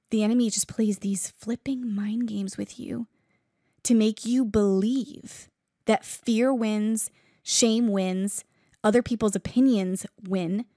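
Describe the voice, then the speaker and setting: A woman's voice: mid-range voice, warm tone, no echo, studio quality. A woman preacher giving an earnest, fervent sermon in a conversational way, with asides to her listeners.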